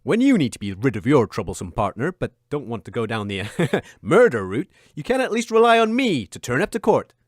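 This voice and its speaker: A man puts on a sleazy divorce lawyer pitching himself in a spoof radio advert. He is brash and slick, swinging hard between low patter and loud punchy bursts, with a dry sound. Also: big pitch swings, fairly quick, few pauses, dry recording. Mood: slick sales pitch. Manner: slick, punchy salesman delivery.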